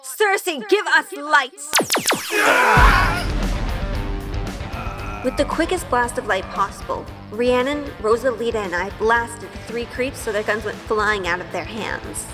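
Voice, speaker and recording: A woman's voice: on the high side, expressive, moderate pace, few pauses, in a large hall, over loud music, close to an everyday voice. A woman playing an earnest, impassioned young witch who tells her story in an audio drama. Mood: resolute. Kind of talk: audio drama narration and acting.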